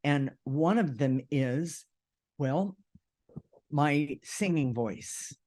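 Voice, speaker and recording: A man with a deep voice talking casually and earnestly, with emphasis, as co-host of an unscripted discussion show. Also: extremely slow, darkish tone.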